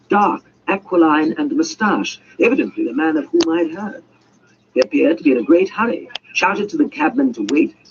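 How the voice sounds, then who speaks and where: Guy reading an audiobook, creaky voice, big swoopy delivery, dull hissy audio. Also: sits high. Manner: theatrical, voices dialogue. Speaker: audiobook narrator. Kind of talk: dramatic storytelling, scripted narration. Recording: muffled, noisy amateur audiobook recording.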